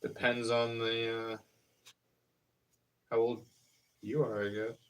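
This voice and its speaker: A man's voice: medium pitch, level tone, takes it slowly. A man in his thirties chatting casually on a live stream while working at a pottery wheel, rambling and hesitant as he explains each hands-on step. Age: 30s. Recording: voice picked up from a distance.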